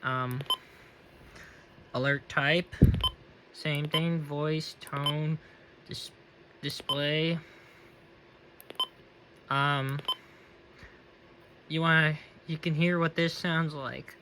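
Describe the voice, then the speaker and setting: A man's voice: fairly high pitch, steady tone, extremely slow, pauses often. A man giving a casual, unscripted product review and demonstration. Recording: faint background noise.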